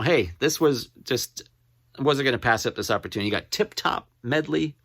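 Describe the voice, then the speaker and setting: Unhurried, rambling man chatting casually. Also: on the low side, fairly bright tone, dry recording, studio quality. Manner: rambling, halting, repeats titles as he reads them out. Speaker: record collector showing his finds. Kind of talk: casual show-and-tell to camera.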